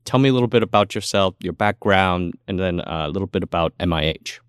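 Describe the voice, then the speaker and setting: A man with a notably low voice hosts a podcast. The sound is dry and close. He speaks casually, introducing and questioning as an interviewer does. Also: fast talker, clear, resonant tone, no room sound.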